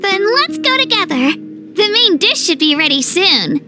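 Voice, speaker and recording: Tiny chirpy fairy sidekick for an anime game, super high and bouncy, music behind. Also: female voice, big pitch swings, unhurried, exaggerated voice. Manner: refers to self in third person. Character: small childlike fairy companion, chirpy and eager. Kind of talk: anime fantasy game acting.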